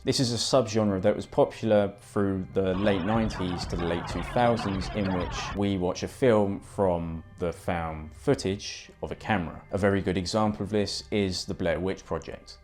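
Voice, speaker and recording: Male video essay narrator, low register, explanatory and casual delivery, soft music bed. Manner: informal, explanatory. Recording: music behind the voice.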